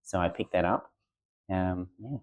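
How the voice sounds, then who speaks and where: A man, a teacher answering interview questions in a relaxed way with many ums. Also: sits low, unhurried. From Australia. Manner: relaxed, many ums and uhs, plain-spoken. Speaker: teacher and guest. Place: school room.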